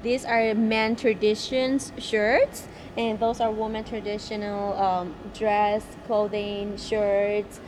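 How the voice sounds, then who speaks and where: A woman's voice: medium pitch, level tone, takes it slowly, hardly any pauses. A woman in her twenties from Thailand, owner of a grocery store, answering interview questions hesitantly with many fillers, recorded inside her shop. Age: 20s. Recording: on-location with background noise.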